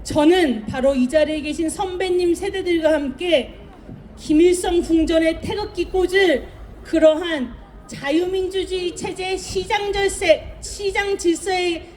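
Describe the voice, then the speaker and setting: A woman's voice: very high-pitched, unhurried, a little mellow, a little hiss or hum. A woman lawyer giving an impassioned, emphatic speech to a crowd at an outdoor rally. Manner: rousing, emphatic, addresses the crowd.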